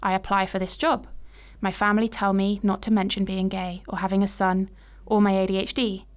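Clear-voiced woman reading her own story. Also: medium pitch, little pitch movement. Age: 20s. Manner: measured, reflective storytelling in present tense. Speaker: podcast host. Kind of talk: reading a personal story aloud.

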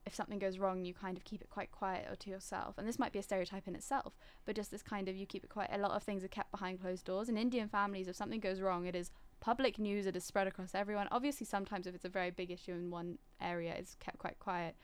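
A young woman with a British accent talks in a reflective, conversational way. Her pitch sits in a middle range and moves very little. She is articulate and discursive, often qualifying her own points as she goes. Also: steady tone, quick pace, hardly any pauses, clear, resonant tone. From Singapore. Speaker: discussion participant.

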